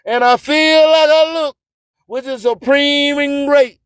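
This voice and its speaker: A man's voice: extremely high pitch, steady tone, slow pace, fairly bright tone, no room sound, clean studio sound. A man with a Southern US accent hosting a radio show in a boastful, emphatic, preacher-like rhyming monologue. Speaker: internet radio host. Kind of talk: hype radio monologue with rhythmic, rhyming spoken-word delivery.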